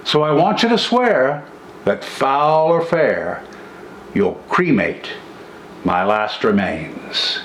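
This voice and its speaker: A man's voice: mid-range voice, very animated, slow, a bit bright, slight background noise. An old man doing a freezing, pleading prospector. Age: older. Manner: pleading, moaning. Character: freezing, dying male gold prospector from the south. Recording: roomy echo.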